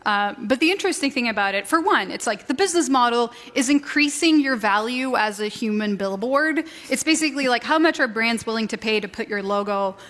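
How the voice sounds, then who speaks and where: A woman in her thirties from Sweden talks as an interview guest on a live stage, her voice pitched a touch high. She chats casually and jokes at her own expense. The sound carries a long hall reverb. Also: animated, brisk, few pauses, fairly bright tone. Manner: joking, self-deprecating, laughs often. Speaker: inventor and online creator, interview guest.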